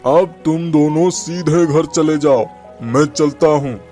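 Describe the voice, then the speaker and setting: A stern, mysterious man who protects children in a cartoon tale, voiced by a male storyteller. He speaks slowly and firmly, with music in the background. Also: a little high, warm tone.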